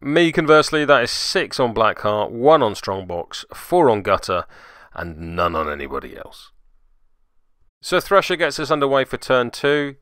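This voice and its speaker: A man with a bright, crisp voice narrates a tabletop miniatures match move by move. He drops in wry, self-deprecating asides. The recording is dry and close, with no room sound. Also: medium pitch, very animated, normal speed, dry recording. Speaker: tabletop game player and commentator. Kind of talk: voice-over play-by-play commentary of a miniatures game.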